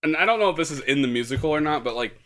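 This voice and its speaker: A young man hosting a casual podcast chat, rambling with restarts and breaking into loud, booming laughs. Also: animated, very fast. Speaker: podcast host.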